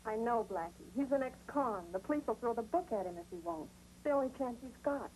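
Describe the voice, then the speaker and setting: An American actress playing a worried, pleading crook's wife in a 1950s television crime drama, on a vintage soundtrack. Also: female voice, a little high, expressive, quick pace, darkish tone, a little hiss or hum, rough recording. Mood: anxious.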